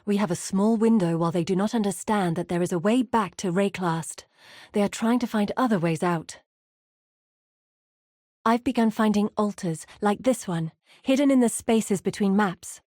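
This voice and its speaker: An actress playing a grave, haunted yet wry woman who guides exiles in a fantasy game, speaking in a measured, earnest, dramatic way. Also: medium pitch, darkish tone, no echo, studio quality, natural voice. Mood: urgent, serious. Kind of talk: scripted fantasy game voice acting.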